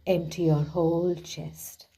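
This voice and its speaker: A woman leading a guided relaxation visualization in a soothing, hushed manner with gently drawn-out phrasing. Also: fairly low pitch, flat delivery, breathy edge. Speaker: guided meditation host. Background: background music.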